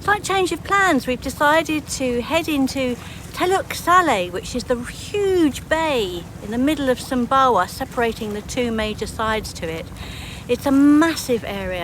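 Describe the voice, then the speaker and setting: A woman hosting a sailing vlog, chatting casually in an upbeat, appreciative way to the camera. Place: outdoors on a sailboat. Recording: noisy outdoor boat recording, engine rumble.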